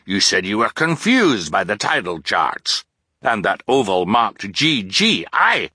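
A man playing a loud "aye"-spouting sea captain. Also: lowish voice, very animated, a little slow, slightly rough, no room sound, studio quality, full character voice. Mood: blustering. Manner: loud, exclaiming, tacks on "aye" constantly. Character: blustering excitable male ship captain.

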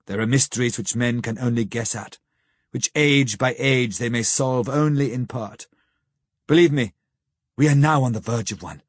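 A man voicing an old male professor who speaks gravely, sternly and with pity, in slow, solemn, pleading phrases for an audiobook. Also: mid-range voice, no echo, clean studio sound, natural voice. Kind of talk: audiobook character reading.

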